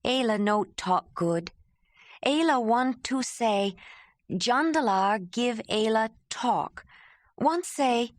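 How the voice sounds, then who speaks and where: A woman voicing an earnest cave woman, halting. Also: fairly high pitch, lively intonation, dry recording, clean studio sound, voice pushed up. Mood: earnest, pleading. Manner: broken simple speech, pleading.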